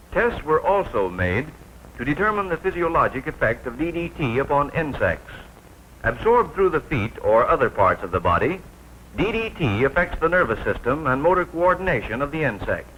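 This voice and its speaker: An American man narrating a 1940s military training film from a script, formal and precise, with clearly enunciated announcer delivery. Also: on the high side, a bit bright. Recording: noisy 1940s film soundtrack.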